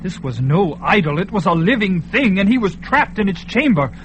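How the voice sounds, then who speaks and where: A man's voice: high voice, very animated, moderate pace, highs cut off, background noise. A man narrating a fantasy radio drama with dramatic, swelling delivery. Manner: dramatic, swelling at peaks, hushed in quiet parts.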